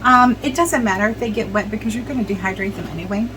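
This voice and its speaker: A woman's voice: medium pitch, fast, noisy background. A middle-aged woman chatting casually at home while working, rambling with many ums and restarts. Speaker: vlogger. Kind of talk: casual chat while preserving herbs.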